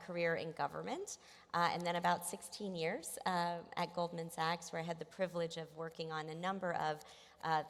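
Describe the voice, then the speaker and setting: A woman's voice: sits low, vocal fry. A woman born in Egypt, speaking as a panelist with enthusiasm and frequent ums. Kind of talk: panel discussion. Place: large hotel conference hall. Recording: reverberant conference hall.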